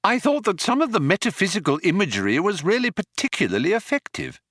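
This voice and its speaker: A man's voice: high-pitched, sing-song, fast talker, a bit bright, dry recording, clean studio sound, pitched above the performer's own voice, exaggerated voice. A man voicing a flustered young Earthman who flounders and hesitates as he bluffs his way through. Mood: bright, floundering.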